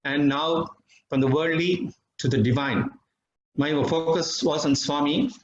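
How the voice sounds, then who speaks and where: A middle-aged man from Bangalore with an Indian English accent and Tamil as his mother tongue, giving a reflective, measured personal testimony with pauses. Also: a little high. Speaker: devotee sharing experiences. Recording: dull, slightly roomy recording with faint noise.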